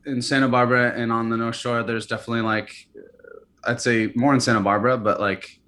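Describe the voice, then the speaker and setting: A man's voice: mid-range voice, fairly quick. A man in his early thirties, a company founder, talking casually in an interview with lots of fillers and restarts. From California and Hawaii. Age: early 30s. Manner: relaxed, hesitant, many fillers and restarts.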